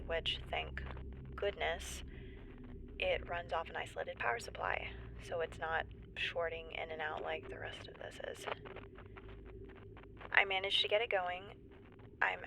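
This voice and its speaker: A woman playing a nervous stranded geologist in a sci-fi audio drama log, halting and rambling as she tries to stay composed. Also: fairly low pitch, vocal fry, background noise. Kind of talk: audio drama monologue, found-footage log.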